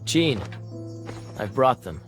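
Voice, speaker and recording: A man's voice: mid-range voice, sing-song, slow pace. A man playing a suave, courteous, self-assured knight in a fantasy video game, with formal, polished phrasing. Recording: game music under the voice. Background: music.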